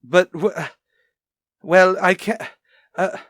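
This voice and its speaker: A man's voice: sits high, fairly even intonation, very slow, a bit bright, dry recording, clean studio sound, full character voice. A man playing a prim, evasive voice coach who speaks precisely and indignantly in a radio detective drama. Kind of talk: old-time radio detective drama acting.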